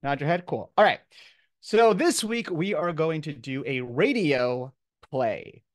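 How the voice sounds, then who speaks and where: An American man with a somewhat high voice teaches an online class as a professor. He explains things in casual, everyday language. The sound is dry, with very little room in it. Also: expressive, unhurried, dry recording. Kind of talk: informal online lecture. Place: video call, close dry sound.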